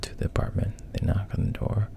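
A man casually retelling a story in a hushed, halting way, often dropping to a whisper, with a gravelly, breathy voice.

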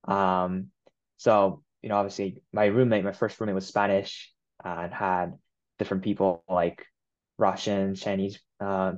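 Young guy from japan, japanese first language, lowish voice, lots of "you know", muffled sound. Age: 20s. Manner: relaxed, many fillers like "you know" and "um". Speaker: guest. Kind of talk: casual interview.

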